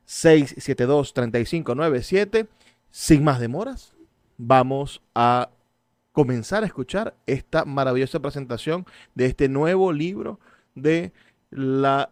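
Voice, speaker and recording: A man's voice: mid-range voice, a little mellow, dry recording. A man with a Venezuelan accent presenting and interviewing as a radio host, effusive and wordy, repeating words for emphasis.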